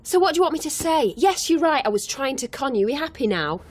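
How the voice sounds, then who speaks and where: An actress playing a defensive, evasive young hitch-hiker in a naturalistic radio drama, with curt delivery. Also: female voice, high-pitched, sing-song, fast. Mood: grudging. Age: young adult.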